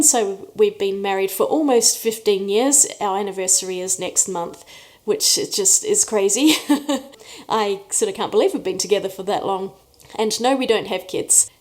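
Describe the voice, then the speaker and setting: A woman's voice: mid-range voice, a little mellow. A woman, chatting casually in a Q&A vlog and laughing often as she tells stories. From New Zealand. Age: 40s. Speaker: art YouTuber. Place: room away from her usual studio.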